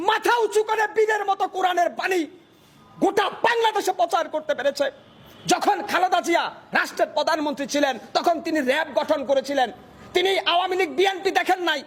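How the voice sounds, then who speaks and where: A Bangladeshi man delivering a fiery political speech in an impassioned, declamatory manner, often shouting and using repetitive rhetorical phrasing. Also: very high voice. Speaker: political speaker, self-described hawker.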